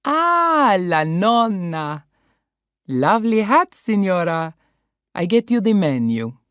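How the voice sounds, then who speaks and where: A woman's voice: mid-range voice, big pitch swings, takes it slowly, no room sound, clean studio sound, pitched above the performer's own voice. A woman voicing an eager young Italian waiter, the owner's son, with an Italian accent and broken English. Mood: welcoming.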